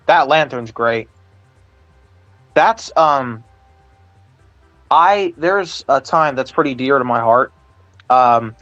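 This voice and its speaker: A man's voice: mid-range voice, a bit bright, no echo. A dull, lo-fi recording carries an American man in casual, enthusiastic conversation. He sounds earnest and tends to repeat himself. The mic is very dry, with faint music underneath. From Ohio, United States. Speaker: stream co-host, weather radio collector. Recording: dull, lo-fi voice chat audio.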